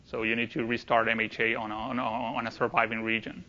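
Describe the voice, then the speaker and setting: A man's voice: mid-range voice, flat delivery, fast talker, bright, forceful tone, dull audio. A man presenting technical material at a conference, speaking hesitantly and often repeating words as he goes.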